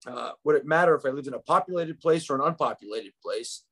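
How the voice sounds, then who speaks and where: A man in his fifties with a New York accent, speaking as a podcast guest. He tells his story in an easy, reflective way, often restarting a phrase with small fillers. His voice sits at an ordinary middle pitch. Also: animated, fast talker, a bit bright, breathy edge. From United States. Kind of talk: conversational interview storytelling.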